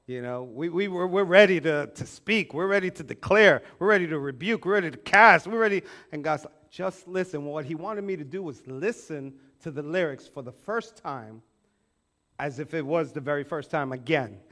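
A man in his forties preaching a sermon on a church stage, animated, acting out quoted voices and swinging from loud to hushed. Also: a little high, moderate pace. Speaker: pastor. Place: church stage with band instruments.

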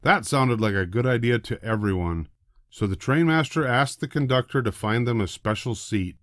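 An older man narrating a children's storybook in a deliberate, expressive way. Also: mid-range voice, no room sound, clean studio sound. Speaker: storybook reader.

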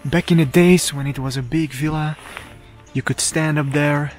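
A man's voice: fairly high pitch, steady tone, normal speed, soft background music. A man casually narrating his walk around an abandoned villa outdoors, enthusiastic and full of fillers, speaking into a handheld camera mic. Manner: chatty, enthusiastic, many fillers. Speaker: urban explorer vlogger. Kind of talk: casual walkthrough commentary.

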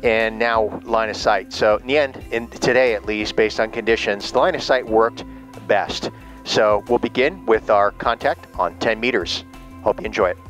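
A man's voice: on the low side, normal speed, fairly bright tone, soft background music. A man narrating from his home radio room in a casual, upbeat way with many filler words. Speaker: ham radio operator and private pilot. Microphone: GoPro camera on the desk.

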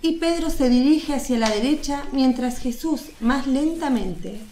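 A woman's voice: high-pitched, lively intonation, measured pace, warm tone. A woman reading scripted narration as the narrator of a religious radio drama. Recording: roomy echo, noise, birdsong behind.

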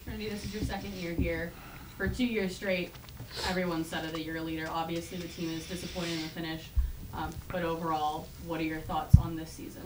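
Woman reporter, off-mic in a roomy, noisy press room; lowish voice. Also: flat delivery, a little fast, darkish tone. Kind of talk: asking press conference questions. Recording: distant, roomy with background noise.

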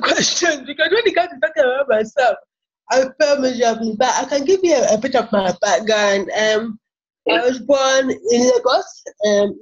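A Ghanaian woman in her forties, a software engineer talking about herself haltingly, with many repeated words, on a video call from a small office. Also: fairly high pitch. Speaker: interview guest, software engineer. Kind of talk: casual interview talk. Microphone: laptop webcam on a video call. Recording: muffled video call audio.

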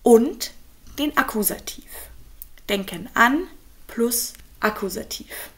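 Slow, patient young woman teaching German. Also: on the high side, expressive, a bit bright. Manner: slow, clear, patient explaining with examples. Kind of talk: online grammar lesson.

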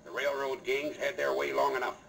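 An American actor playing a rough, suspicious, blunt cowboy with a gravelly voice in an old-time radio western. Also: male voice, medium pitch, fairly even intonation, brisk, a bit bright, a little hiss or hum. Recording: slightly distant vintage radio recording.

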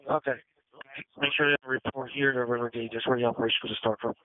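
Fast-talking male commander on radio. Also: medium pitch, flat delivery, phone-quality sound. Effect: two-way radio. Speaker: field command officer. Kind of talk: two-way radio traffic. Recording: band-limited emergency radio transmission.

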